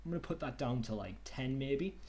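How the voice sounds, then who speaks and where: Brief: young male tutor, mid-pitched, easygoing and chatty, webcam video call. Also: a little fast. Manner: relaxed, chatty, says "okie dokie" and "kind of". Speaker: online workshop tutor. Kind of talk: casual screen-share tutorial.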